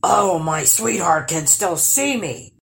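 A woman's voice: fairly low pitch, lively intonation, slow, gravelly, full character voice. A woman voicing a huge male mountain troll in a storybook, bossy but besotted, with a bellowing, grumbling delivery. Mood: muttering. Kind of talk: storybook character voice.

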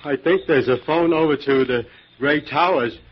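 An actor playing a simple, fearful country handyman in 1940s radio style, with plain, halting rustic speech. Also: a little high, breathy edge. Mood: timid. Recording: tinny, lo-fi 1940s radio recording.

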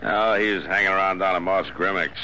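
An actor playing a watchful, lonely US marshal in a 1950s radio western, with a dry, gruff, laconic manner. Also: male voice, low voice, fairly quick, highs cut off, lightly acted. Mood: relaxed. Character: watchful, lonely male US marshal in frontier West. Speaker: lead actor. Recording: noisy old 1950s radio recording.

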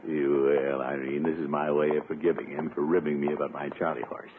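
An actor playing a pompous, smitten middle-aged bachelor in a blustery, theatrical way for a 1950s radio comedy. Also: male voice, very deep voice, little pitch movement, a little fast. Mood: smug. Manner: blustery, theatrical exclamations and chuckles. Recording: noisy, narrow-band 1950s radio recording.

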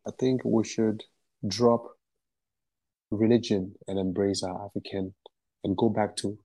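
A man's voice: fairly low pitch, fairly even intonation, unhurried, dark tone, husky, dry recording. A man from Ghana, a musician and activist, answering interview questions in a passionate, emphatic way and repeating phrases for stress.

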